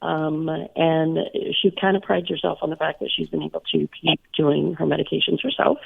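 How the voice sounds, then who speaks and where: Woman, raspy and hoarse, gravelly fry, tinny phone line, chatty radio co-host. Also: low-pitched, little pitch movement, normal speed. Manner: chatty, many fillers. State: hoarse, recovering from illness. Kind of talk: casual radio chat.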